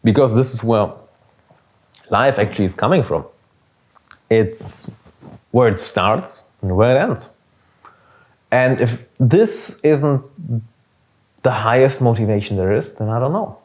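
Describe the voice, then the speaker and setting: A man's voice: mid-range voice, animated, measured pace, long pauses, a little mellow. A young man with a German accent, hosting a casual motivational podcast in an earnest, emphatic way with some swearing. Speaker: podcast host and business coach.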